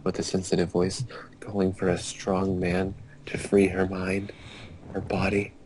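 Young man, deep voice, halting amateur story reader, computer mic on a group call with faint noise and clicks. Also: monotone, a little mellow, low-quality recording. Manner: halting, stumbling over odd wording. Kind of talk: amateur dramatic reading aloud among friends. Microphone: computer mic on a group internet call. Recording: hissy online group call, mouse clicks.

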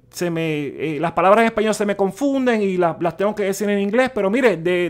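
Stammering man, high-pitched voice. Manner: stammering, repeats words, switches to English words. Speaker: personal finance channel host. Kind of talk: casual explanatory talk over a spreadsheet. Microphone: voice-over on a screen recording.

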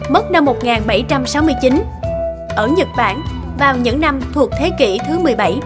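A woman narrator delivers scripted story narration. Music plays behind her measured reading. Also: sits high, animated.

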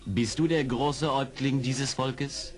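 An actor playing an earnest, determined army major in an audio drama, polite and articulate. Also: male voice, mid-range voice, steady tone, slow, a little mellow, faint music behind, close to an everyday voice. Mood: courteous. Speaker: actor in a radio play. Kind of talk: 1970s German audio drama acting.

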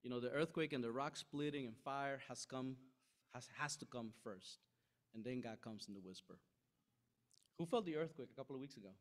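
Young male preacher, conversational and animated, acts out quotes; church hall, podium mic. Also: medium pitch, fairly quick. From Costa Rica. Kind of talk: sermon. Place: large church hall with stage.

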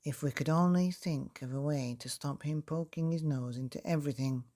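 A man's voice: on the high side, moderate pace, mellow, close to an everyday voice. A man voicing a sly, plotting house painter in a storybook, with a conspiratorial manner.

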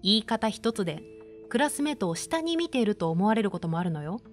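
A woman playing a strict but caring primary school teacher in a voice drama, firm and measured. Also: medium pitch, sing-song, faint music behind, lightly acted. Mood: stern. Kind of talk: voice drama acting.

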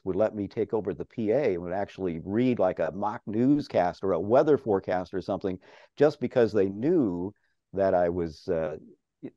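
An older man with a strong, mellow, fairly low voice tells stories with lively, chatty energy and frequent laughter. He talks from a home office over a video call. The sound is dry and a little dull. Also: no room sound. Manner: chatty, animated, laughs often. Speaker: interview guest, radio farm broadcaster. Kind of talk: casual storytelling.

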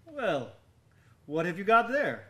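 A man's voice: high voice, huge pitch swings, slow, fairly bright tone, heavily acted. An actor in a radio drama playing a genial, obliging man, eager and polite as he questions his friend. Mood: curious, friendly. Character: genial, obliging male friend of an old sailor.

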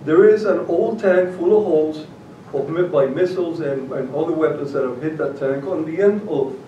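A man's voice: a little high, moderate pace, a little hiss or hum. An older man with a Puerto Rican accent giving a public talk, telling stories with many fillers and restarts. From Puerto Rico. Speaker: guest speaker. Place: library meeting room. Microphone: far camera. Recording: roomy, distant, dull audio.

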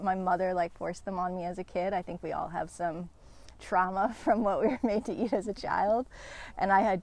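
A woman in her forties, a farmer, answering interview questions outdoors in a garden in a relaxed, candid way. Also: on the low side. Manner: relaxed and candid, with fillers and small laughs. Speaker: guest farmer.

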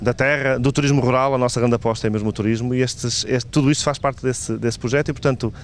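Fast-talking northern Portuguese man, noisy. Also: fairly even intonation. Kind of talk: interview statement. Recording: clear rumbling background noise.

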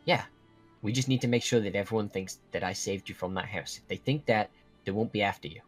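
A man's voice: on the low side, fairly quick, soft background music, natural voice. A man playing an earnest, guilt-ridden young werewolf student, talking in a hesitant, conversational way in a game roleplay. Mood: serious, reassuring. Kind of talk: casual scripted Minecraft roleplay.

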